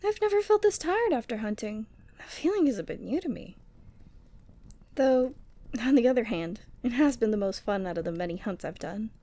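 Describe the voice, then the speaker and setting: A woman voicing an affectionate, teasing but lonely yeti girl in an ASMR roleplay, tender and coaxing with breathy sighs. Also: on the high side, lively intonation, moderate pace, mellow, close to an everyday voice. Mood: content, relaxed. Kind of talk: ASMR roleplay audio drama.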